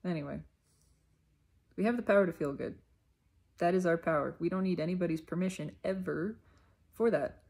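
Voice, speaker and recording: A woman giving a reflective self-help monologue, unhurried and emphatic, often repeating her phrases. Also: on the low side, darkish tone, husky.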